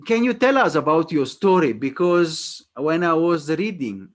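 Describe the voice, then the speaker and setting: Earnest, halting male interview host. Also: fairly high pitch, a bit bright. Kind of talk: interview hosting.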